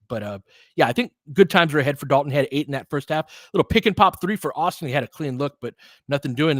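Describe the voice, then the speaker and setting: A man's voice: mid-range voice, a bit bright, no echo, clean studio sound. A man giving informal, candid basketball play-by-play and opinionated commentary as the host of a fan stream.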